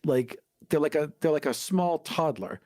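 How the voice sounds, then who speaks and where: A man's voice: on the high side, animated, moderate pace, dark tone, no echo, studio quality. A man co-hosting a podcast, chatting casually and playfully about tabletop games in a conversational way. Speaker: podcast host.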